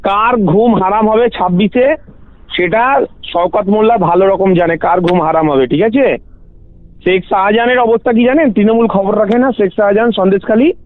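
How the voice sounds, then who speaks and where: A man's voice: high-pitched, moderate pace. A man with a West Bengal Bengali accent answering interview questions on a phone call in a combative, dismissive, emphatic way. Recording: muffled narrowband phone call.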